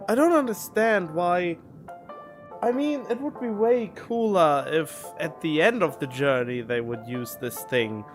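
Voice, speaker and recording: A game streamer giving casual, incredulous livestream commentary, grumbling and swearing. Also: mid-range voice.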